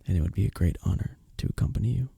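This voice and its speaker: Man playing a soft dark-voiced, courtly young innkeeper. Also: sits low, racing, vocal fry, slightly rough, no echo, clean studio sound, heavily acted. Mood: earnest, admiring. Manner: formal, courtly phrasing with bashful hesitations. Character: courteous, smitten young male village innkeeper, tender and earnest. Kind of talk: intimate romantic ASMR fantasy roleplay.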